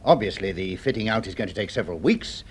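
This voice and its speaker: A man's voice: lowish voice, expressive, quick pace, husky. A man playing a courteous, authoritative space project chief in a 1950s radio drama, speaking formally. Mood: businesslike.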